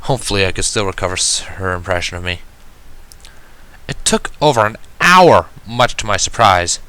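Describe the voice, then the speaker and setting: A man reading fan fiction aloud as expressive first-person story narration. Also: low voice, moderate pace, smooth, no echo.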